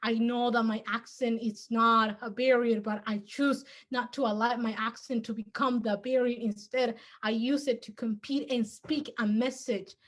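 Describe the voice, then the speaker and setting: Teen girl student, heavy Spanish accent, earnest memorized speech delivery, a little higher voice. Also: steady tone, moderate pace, few pauses. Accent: Spanish-accented English. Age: teens. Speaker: student speaker.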